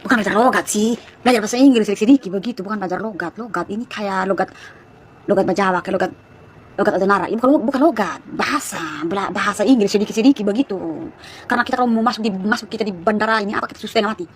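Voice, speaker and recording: A woman of about thirty from East Nusa Tenggara, speaking with its regional Malay accent, chatting to viewers on a phone livestream and telling her story in rapid, chatty bursts full of asides. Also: medium pitch, a little hiss or hum. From East Nusa Tenggara, Indonesia. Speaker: live streamer. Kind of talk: casual live-stream testimony to viewers.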